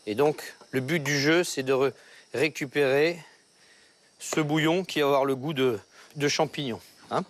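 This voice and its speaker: A man's voice: fairly high pitch, a little slow. A man demonstrating a recipe as a chef, giving step-by-step instructions and checking he is understood. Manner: instructive, checking in with short tags. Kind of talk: cooking demonstration. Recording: music behind the voice. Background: background music.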